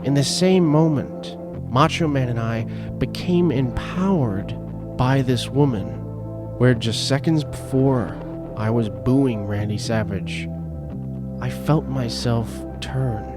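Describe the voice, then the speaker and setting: A man reading a scripted story as a podcast host, in a dramatic, deliberate and emphatic way. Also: medium pitch. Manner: theatrical, deliberate, emphatic phrasing. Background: prominent background music.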